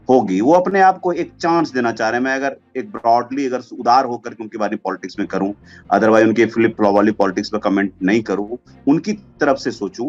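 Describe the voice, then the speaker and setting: A man's voice: medium pitch, a little mellow. A man giving informal political analysis as a commentator, mixing English terms into his speech. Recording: dull lo-fi audio with music behind. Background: background music.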